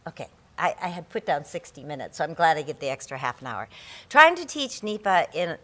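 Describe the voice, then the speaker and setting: Casting: low, bright-toned woman instructor; chatty, self-deprecating, restarts a lot; mic in a big conference room. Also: big pitch swings, a little fast. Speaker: environmental law instructor. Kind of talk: conference presentation. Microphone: handheld or podium microphone.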